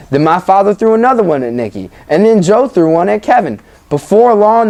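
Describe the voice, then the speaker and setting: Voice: medium pitch, a little mellow. A teacher reading a story aloud expressively to children, stopping to ask them questions in an informal, engaging way. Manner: engaging, informal, addresses listeners as guys. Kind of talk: expressive read-aloud with questions to young listeners.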